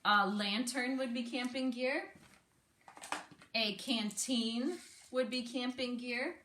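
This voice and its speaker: A woman with a bright voice reads a children's story aloud in an instructive, expressive way. She breaks off to explain and prompt with questions. The room is echoey, with faint noise behind her. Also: fairly high pitch, slow. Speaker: speech therapist reading aloud to a child. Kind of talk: read-aloud storytelling with teaching asides.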